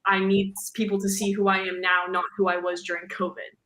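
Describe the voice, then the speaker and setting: A young woman guest talks casually about singing, her voice low for a woman yet bright and clear. She rambles a little and fills gaps with "like." The room around her is echoey. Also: completely flat delivery, brisk, a little hiss or hum. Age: young adult. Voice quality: deep for a woman. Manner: chatty, fills with "like" and "um", laughs easily. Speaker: guest singer. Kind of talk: casual interview chat.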